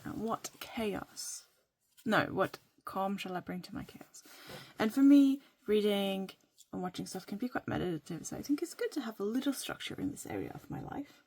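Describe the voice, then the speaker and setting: A woman from Norway, whose first language is Norwegian, chatting casually in a playful, rambling way that sometimes drops to a soft whisper while she writes in her journal. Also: medium pitch, lively intonation, normal speed, breathy edge, no room sound. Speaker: vlogger.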